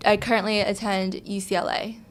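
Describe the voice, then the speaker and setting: A young woman from Hong Kong being interviewed, answering softly with frequent ums and small laughs. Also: mid-range voice, little pitch movement, a little fast. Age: young adult. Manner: soft-spoken, frequent ums and little laughs. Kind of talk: casual interview.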